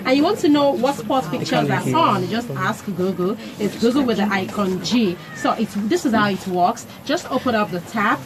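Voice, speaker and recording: A woman radio host reading paid announcements on air in a brisk, upbeat manner. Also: on the high side, animated, noisy background. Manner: brisk, upbeat promo delivery.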